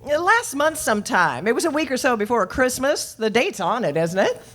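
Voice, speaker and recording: A woman playing a flustered, soft-hearted but stubborn older landlady in a radio play, rambling, hesitant and defensive. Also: fairly high pitch, sing-song, normal speed. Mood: vague, hesitant. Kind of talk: live old-time radio play acting.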